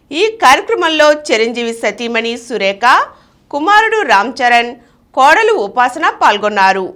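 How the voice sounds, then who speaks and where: A woman's voice: sits high, a bit bright. A woman presenting scripted news headlines and reports as a newsreader.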